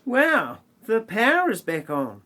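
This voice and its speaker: A man putting on a high squeaky kid voice. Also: wildly swooping pitch, slow pace, pushed and bright, heavily acted. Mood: eager. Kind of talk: parody audio play acting.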